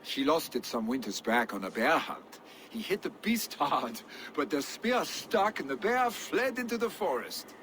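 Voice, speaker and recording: An actor playing a confident young Viking warrior who speaks in an easygoing, assured way. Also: male voice, a little high, sing-song, unhurried, a bit bright, slight background noise. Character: confident Viking son of the clan.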